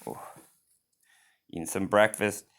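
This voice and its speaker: A man's voice: low voice, slow. Man reading a children's storybook aloud. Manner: expressive, exclaiming in asides. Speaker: storybook reader. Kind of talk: children's read-aloud with spontaneous asides.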